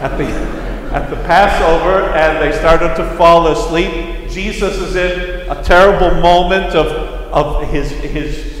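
A middle-aged priest with a Brooklyn accent touched by an Irish lilt, preaching a sermon in a large church and telling the story with acted-out voices and humorous asides. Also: male voice, a little high, slow pace, fairly bright tone. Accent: Brooklyn with a slight Irish lilt. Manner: storytelling, acting out voices, self-deprecating asides. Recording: echoey church hall.

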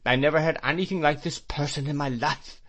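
A man reading an audiobook, voicing a haughty, sarcastic spinster who speaks slowly and sardonically. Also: a little high, steady tone, a bit bright, pitched above the performer's own voice. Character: icy, sarcastic middle-aged spinster.

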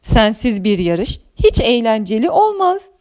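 A woman voicing a plucky, excitable young child racer in a children's audio story, speaking in eager exclamations. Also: a little high, unhurried. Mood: warm, reassuring.

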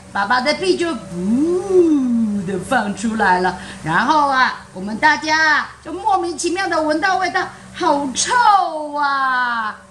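A woman's voice: very high voice, fairly bright tone, roomy sound, a little hiss or hum, voice pushed up, full character voice. Woman doing a whiny cheeky little boy. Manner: whiny, complaining.

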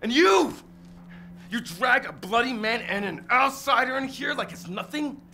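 Drunk ranting villager woman, gravelly and scornful for a horror game. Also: a little high, big pitch swings, a little slow, sharp tone, full character voice. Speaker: game character.

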